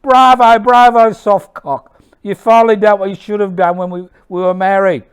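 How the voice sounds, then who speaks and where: Scornful stage wife, acted by a man, high, loud, jeering shouts. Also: fairly even intonation, normal speed, smooth, natural voice. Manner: shouting, mocking. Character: scornful wife jeering loudly at her husband.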